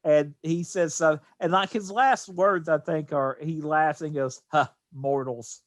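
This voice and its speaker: Middle aged dude podcast cohost, kinda high voice, opinionated rambly movie talk, super dry sound. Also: male voice, fairly even intonation, measured pace, no echo. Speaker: podcast co-host. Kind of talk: casual film chat.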